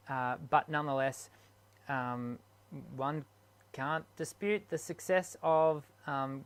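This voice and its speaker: A man's voice: fairly high pitch, pushed and bright. A man who coaches singers, hosting an unscripted live stream Q&A and talking hesitantly with many ums and restarts.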